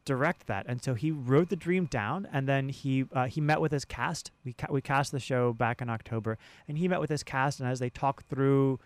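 A man speaking conversationally as an interview guest, halting and often restarting his phrases. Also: medium pitch, no echo. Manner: halting, with repeated words and restarts. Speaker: sound designer and guest.

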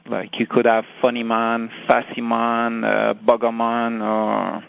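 Gravelly man with a French accent. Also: mid-range voice, completely flat delivery, low-quality recording. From France. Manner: halting, searches for English words. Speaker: guest. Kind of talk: interview answers.

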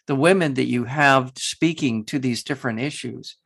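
A man hosts an interview, speaking passionately and haltingly, often restarting his thoughts. His voice has a gravelly, creaky texture at a mid pitch. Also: a bit bright. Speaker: interview host. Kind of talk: interview conversation.

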